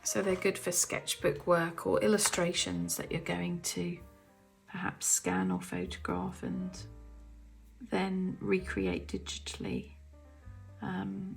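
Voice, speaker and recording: A woman from the UK with a croaky, gravelly throat talks slowly and softly, often dropping to a half-whisper as she thinks aloud. She speaks like a relaxed craft tutorial at home. A faint bed of guitar music plays underneath. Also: fairly low pitch. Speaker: artist demonstrating paints. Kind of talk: casual craft tutorial chat. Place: home art studio.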